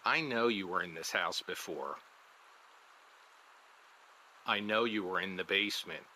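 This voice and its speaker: Ominous man, low tense voice. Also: a little fast, sharp tone, slight background noise, rough recording. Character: ominous, guilt-ridden male neighbor with secrets. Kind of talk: audio drama acting.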